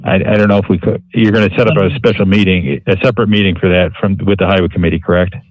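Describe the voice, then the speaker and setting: A man chairing a county board meeting in formal procedural language, with a somewhat halting delivery. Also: low voice, little pitch movement, dry recording, highs cut off.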